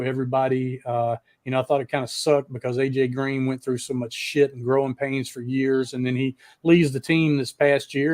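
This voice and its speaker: Low-key American man, blunt rambling talk. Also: a little mellow, no room sound, clean studio sound. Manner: blunt, heavily profane, rambling. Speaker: co-host of a rock and metal talk show. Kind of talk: casual chat.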